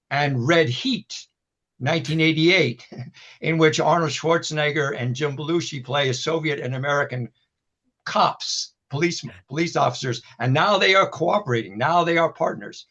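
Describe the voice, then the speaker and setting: A man's voice: medium pitch, fairly bright tone. A man who writes history speaks in a webinar discussion, lecturing thoughtfully and restarting his sentences often. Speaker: historian and book co-author. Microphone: video call. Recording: dull lo-fi video call audio.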